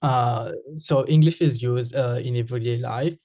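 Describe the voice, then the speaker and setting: A man's voice: mid-range voice, steady tone, moderate pace, mellow, no room sound, clean studio sound. A man from Mauritius with a Mauritian accent, a Creole speaker answering interview questions, hesitant with frequent fillers and restarts.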